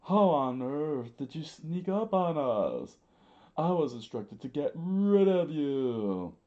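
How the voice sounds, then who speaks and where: A man acts out a dramatic villain agent from a story. The voice jumps up high and loud, melodramatic in every line. The recording sounds slightly muffled. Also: sing-song, slow. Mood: indignant. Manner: screaming, melodramatic.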